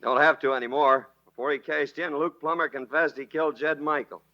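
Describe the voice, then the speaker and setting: An actor playing a calm young outlaw gunfighter in a 1949 radio drama, speaking in short, easygoing lines. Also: male voice, fairly high pitch, brisk, natural voice. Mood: tender. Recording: dull 1949 radio broadcast.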